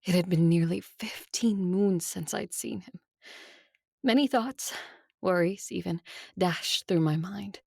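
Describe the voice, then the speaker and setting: A woman's voice: on the low side, slow pace, gravelly, a little mellow, husky, dry recording, exaggerated voice. An earnest vampire queen, dry recording. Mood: reflective. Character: idealistic vampire ruler, female, earnest, stubborn, secretly lovestruck. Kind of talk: audio drama acting.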